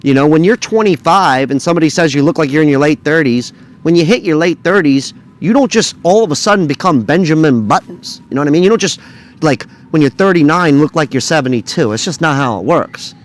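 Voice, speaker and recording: A man in his thirties speaks straight to camera in a relaxed vlog. He is blunt and joking, his somewhat high voice moving up and down in a lively way. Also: a little fast. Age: 30s. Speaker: video creator. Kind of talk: casual talking-head vlog.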